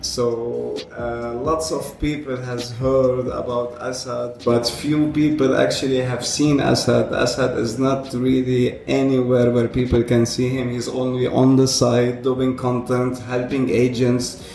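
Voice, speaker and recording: Flat-voiced man hosting a podcast. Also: mid-range voice, unhurried, vocal fry, few pauses. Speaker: podcast host. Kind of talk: interview podcast. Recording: roomy echo with some background noise.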